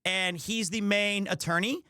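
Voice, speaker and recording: A man hosting a livestream, talking casually to his audience in a rambling, jokey, expressive way. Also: sits high, unhurried, clean tone, no room sound, studio quality. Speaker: livestreamer and host. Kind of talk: casual chat to a stream audience.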